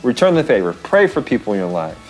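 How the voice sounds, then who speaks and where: An American man in his thirties hosting a vlog, casually telling a story with expressive emphasis and repeated phrases. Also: fairly low pitch, fast, soft background music, poor audio. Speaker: show host. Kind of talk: casual storytelling with devotional encouragement. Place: small wood-panelled room, indoors.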